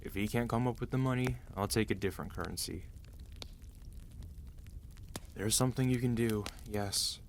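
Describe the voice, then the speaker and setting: A man acting out a weary, protective mafia boss husband in an ASMR roleplay, commanding and dry yet gentle and intimate. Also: fairly low pitch, fast, a little hiss or hum, close to an everyday voice. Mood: stern, businesslike. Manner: tired, authoritative, dry, intimate.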